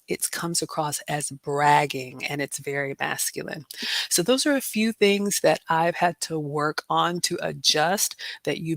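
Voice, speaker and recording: A woman's voice: low-pitched, dry recording. Middle-aged woman host, dry close sound. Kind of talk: personal reflection to a group.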